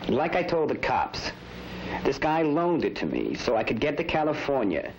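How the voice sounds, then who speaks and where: A man's voice: mid-range voice, very animated, moderate pace. An actor playing a defensive sixteen-year-old boy in trouble, slangy and protesting, in an old training film. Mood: defiant, evasive. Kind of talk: mid-century training film acting. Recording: noisy old film soundtrack.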